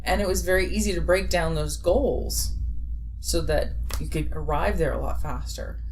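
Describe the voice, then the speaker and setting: A woman with a slightly low voice speaks in a casual group interview. She explains things reflectively, in a conversational style. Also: moderate pace, a little mellow, rough recording. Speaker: interview participant.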